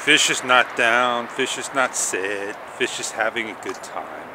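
A middle-aged man vlogging casually as he walks a park path in the morning, rambling along with pauses, his throat a little sore, recorded on an action camera held at arm's length. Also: mid-range voice. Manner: rambling, relaxed, thinks aloud with many pauses. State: sore throat, sucking on candy. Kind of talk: casual walk-and-talk vlog. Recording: outdoor noise with traffic behind. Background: passing traffic and outdoor ambience.

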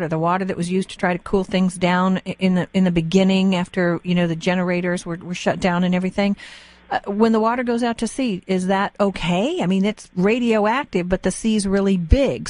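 A woman's voice: medium pitch, a little mellow, dry recording. Inquisitive American woman interviewer, close dry sound. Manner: inquisitive, plain-spoken, checks understanding in lay terms. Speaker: radio interviewer. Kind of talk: conversational radio interview.

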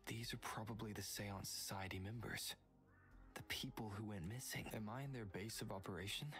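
An actor playing a calm young game hero thinking aloud in a measured, hushed inner monologue. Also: male voice, lowish voice, faint music behind. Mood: pensive, measured.

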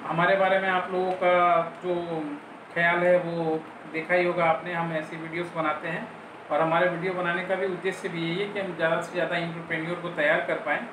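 A man you'd hear on a homemade advice video, high voice, halting and explanatory, speaking in a roomy, echoing space. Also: little pitch movement. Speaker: business advisor and video host. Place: small room at home, indoors. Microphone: clip-on lapel mic. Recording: roomy echo, clearly noisy background.

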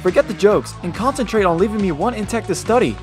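A man's voice: high voice, lively intonation, fast talker, soft background music. A man voicing a nerdy, fascinated teenage mutant turtle in a cartoon, eager and technical. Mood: excited, focused. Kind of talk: cartoon action acting.

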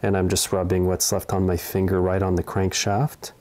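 A man's voice: low-pitched, completely flat delivery, normal speed, darkish tone. A middle-aged man narrating a hands-on repair tutorial in a plain-spoken, step-by-step way. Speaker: small engine repair host. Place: indoor repair workshop.

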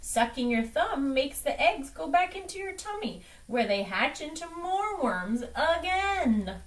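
A woman's voice: sits high, big pitch swings, a little slow, pitched above the performer's own voice. A woman voicing an emphatic, exasperated dog doctor who lectures his patients in a children's storybook. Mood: instructive. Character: stern, exasperated know-it-all dog doctor. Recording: distant microphone in a room.

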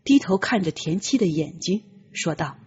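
Woman, audiobook narrator, dramatic scripted reading, lively swooping intonation, soft husky voice, slightly lo-fi recording. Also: on the low side. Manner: measured, expressive storytelling.

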